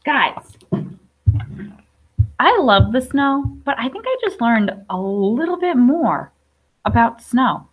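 A woman's voice: a little high, big pitch swings, slow, long pauses, slightly rough, highs cut off. A woman around her thirties, a teacher reading aloud to young children, enunciating and adding chatty questions to her listeners. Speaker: primary school teacher. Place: indoor classroom.

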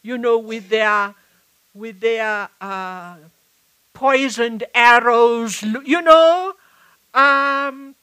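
Older South African woman, unhurried author at a live panel, slightly high bright voice, halting restarts. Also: clean tone. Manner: reflective, halting with many restarts. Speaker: author and activist. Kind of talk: public conversation on stage. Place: stage at a live event. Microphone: headset mic.

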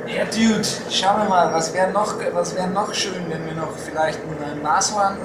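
A man's voice: high voice, fairly even intonation, a little slow, reverberant, heavy background noise. A man playing a boastful trophy-hunting dad in an amateur audio drama, talking in slangy, enthusiastic buddy banter. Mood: relaxed, pleased. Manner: slangy, enthusiastic buddy talk with English loanwords. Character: boastful trophy-hunting dad on safari, laid-back bro.

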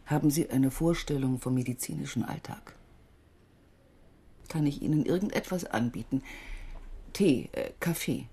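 An actress playing a weary, evasive middle-aged aid organisation manager in a German radio crime drama, husky and world-weary. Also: female voice, extremely low pitch, moderate pace, long pauses, dark tone, exaggerated voice. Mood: guarded.

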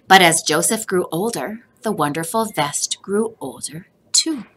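A middle-aged woman reading a children's picture book aloud as a teacher, expressive and deliberate, with sing-song repeated phrases. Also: lowish voice, slightly rough.

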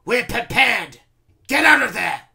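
A man voicing a hot-tempered, sneering military officer with a raspy voice, in a storybook reading. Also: very high voice, animated, slow pace, bright, forceful tone, pitched above the performer's own voice, full character voice. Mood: furious, shouting.

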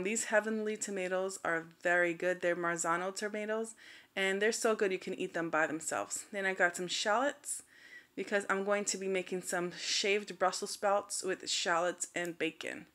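A woman's voice: medium pitch, fairly even intonation, measured pace, sharp tone. A woman in her thirties talking casually and straightforwardly as a vlogger, recorded indoors at home. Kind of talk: casual grocery haul vlog.

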